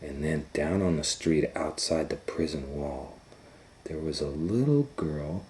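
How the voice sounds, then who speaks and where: A man reading a story aloud to young children in a dramatic, loosely retold way, moving between hushed asides and excited swells. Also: very deep voice, measured pace, slight background noise. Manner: dramatic, hushing to near whispers and swelling with excitement. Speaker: storytime host and reader.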